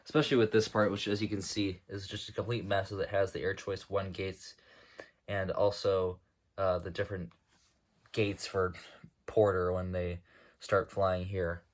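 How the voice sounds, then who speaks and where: A man's voice: low-pitched, flat delivery, normal speed. A young man with a sore, dry throat casually narrating a walkthrough of his model airport, rambling with many fillers and self-corrections. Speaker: model airport hobbyist showing his build.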